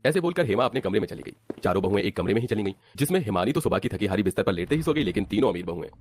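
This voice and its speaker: A man's voice: on the low side, expressive, very fast, darkish tone, slight background noise. A man narrating a scripted cartoon family story as the storyteller.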